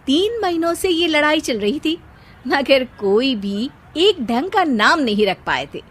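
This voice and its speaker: Woman narrator with a really high voice reading a cartoon story. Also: big pitch swings, unhurried.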